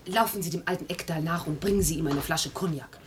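A woman's voice: deep voice, fast, noisy background, natural voice. An actress in a German radio play playing a solicitous, managing society lady companion. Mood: caring, commanding.

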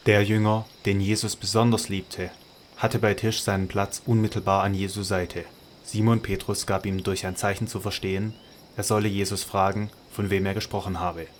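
A man narrating a scripted radio drama in a measured, even way. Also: on the low side, a little hiss or hum.

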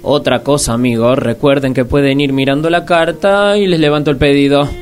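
A man's voice: medium pitch, fairly even intonation, a little fast, close to an everyday voice. An actor playing a busy waiter with wordy, salesman-like politeness in a comic radio play. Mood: obliging. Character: busy, obliging male waiter at a date venue. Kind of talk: radio comedy drama acting.

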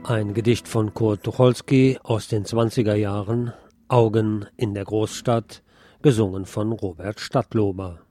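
A man's voice: fairly low pitch, steady tone, measured pace, warm tone, soft background music. A man presenting a radio programme with scripted announcements.

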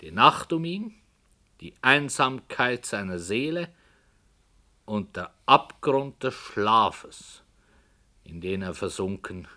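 Casting: a hesitant, dark-minded author in an old radio play, slow and hushed, low with a lively melody. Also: male voice, heavily acted. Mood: musing. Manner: slow, hushed, sometimes whispering. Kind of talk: 1960s radio play acting.

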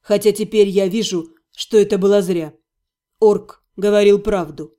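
A woman's voice: mid-range voice, fairly even intonation, warm tone, pitched below the performer's own voice, close to an everyday voice. A woman voicing a bitter young male necromancer, a jilted fiancé speaking with cold, weary restraint in an audiobook. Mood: bitter, resolute. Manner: bitter, deliberate, reproachful. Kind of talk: dramatized audiobook dialogue.

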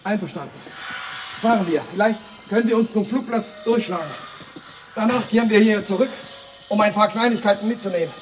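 An actor in a German radio play playing a brisk, anxious survivor who is eager to get away. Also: male voice, sits high, music in the background, close to an everyday voice. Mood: hurried.

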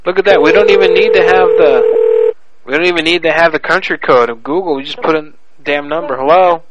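A man's voice: on the high side, a little fast, a crowd in the background. A man making a prank phone call in a crude, pushy, mock-serious manner. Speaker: prank caller.

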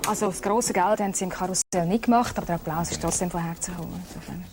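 A woman hosting a live studio show, chatty and lively, joking with a guest. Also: fairly low pitch, racing, background noise. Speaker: TV show host.

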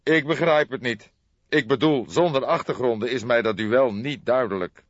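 A man's voice: mid-range voice, normal speed, pitched above the performer's own voice, close to an everyday voice. A man voicing an earnest, puzzled male police colleague in an audiobook, pressing for explanations in an insistent, emphatic way. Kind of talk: audiobook character dialogue. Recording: dull lo-fi audiobook, roomy echo.

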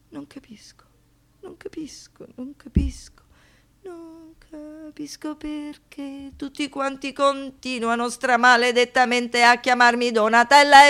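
An anguished, defiant woman survivor. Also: high voice. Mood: anguished, hushed, then exasperated, frantic. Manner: intense, swinging from hushed to outbursts. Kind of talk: theatrical radio monologue, dramatic recitation.

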